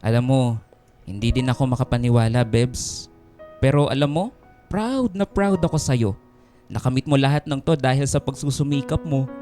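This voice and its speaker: Lalaking gumaganap na maalalahaning binata sa drama. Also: male voice, medium pitch, lively intonation, moderate pace, pauses often, warm tone, close to an everyday voice. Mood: tender, reassuring. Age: young adult. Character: devoted, gentle young boyfriend and dutiful nephew. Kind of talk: Filipino radio drama acting. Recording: radio drama with music behind.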